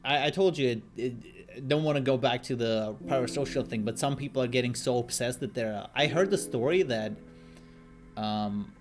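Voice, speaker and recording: A man's voice: mid-range voice, normal speed. A man guesting on a casual chat over a voice call, bantering and swearing as he tells stories. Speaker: guest streamer. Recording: voice call with lofi music behind. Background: lofi music.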